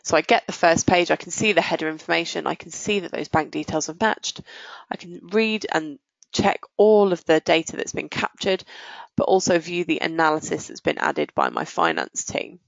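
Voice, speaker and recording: A woman presenting a software walkthrough in a methodical, explanatory way. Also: low voice, very animated, normal speed, gravelly, no room sound, muffled recording. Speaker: software demonstrator.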